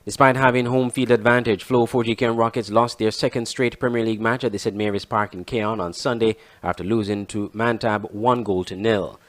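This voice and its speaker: A man's voice: fairly low pitch, steady tone, brisk, few pauses. A man reading scripted sports news as a newsreader. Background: music bed.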